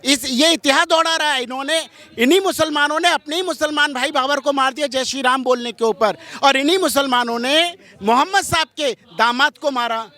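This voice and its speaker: A man with a very high, piercing voice gives impassioned interview answers. He is strident and combative, pressing his points with rhetorical questions in a bright, forceful tone. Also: a little fast.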